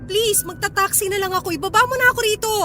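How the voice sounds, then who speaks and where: A woman's voice: very high voice, fast. An actress playing a betrayed wife and mother in a Filipino radio drama, plaintive, exasperated and feisty. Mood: indignant. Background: background music.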